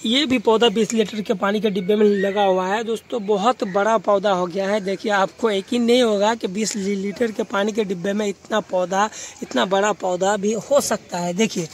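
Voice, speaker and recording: A high-voiced man with a Bengali accent narrates an informal garden tour for a vlog. He speaks to viewers directly and often repeats his phrases. Faint outdoor noise and birds sit behind his voice. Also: fairly even intonation, moderate pace, few pauses. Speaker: gardening vlogger.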